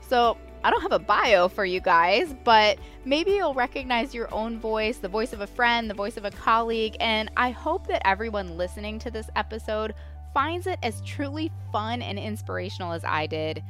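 A woman's voice: on the high side, expressive, normal speed, fairly bright tone, soft background music. A woman hosting a podcast, talking enthusiastically and gushing about her guests. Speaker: podcast host. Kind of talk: upbeat podcast intro and booth interviews.

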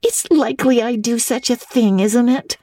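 A woman voicing a loyal, indignant, excitable young girl, hushed and emphatic, in a classic audiobook reading. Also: on the high side, moderate pace, no echo, studio quality, voice pushed up.

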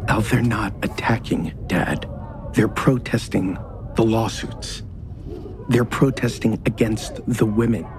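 An actor playing a weary, blunt son of a company magnate in a naturalistic audio drama. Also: male voice, lowish voice, slow pace, long pauses, noisy background. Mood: bitter, reproachful.

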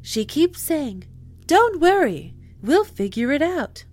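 A woman's voice: sits high, big pitch swings, slow, darkish tone, faint music behind, heavily acted. An actress in an audio drama playing a chatty, emphatic woman who runs a moon base's inventory and gets easily frustrated. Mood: frustrated, exasperated.

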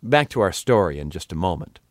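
A man's voice: deep voice, big pitch swings, fairly quick, no echo, studio quality. A man hosting a radio program with scripted narration. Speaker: radio host.

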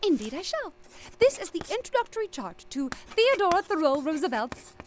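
Audio drama: eccentric female naturalist. High, very lively, naive, affectionate, primly formal and gushing. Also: moderate pace. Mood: eager, lecturing. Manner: prim formal wording, gushing enthusiasm.

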